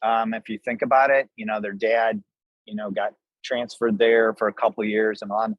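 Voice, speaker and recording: American guy in his 60s, lowish voice, folksy casual interview stories, dry room sound. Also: flat delivery, moderate pace, dry recording, lo-fi sound. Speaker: podcast guest, restaurant co-owner.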